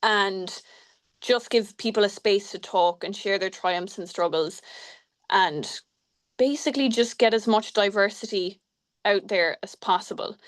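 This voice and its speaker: A woman from broadcasting introduces herself in a brisk, confident way. She talks over a video call. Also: medium pitch. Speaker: LGBTQ media broadcaster. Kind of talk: panel self-introduction.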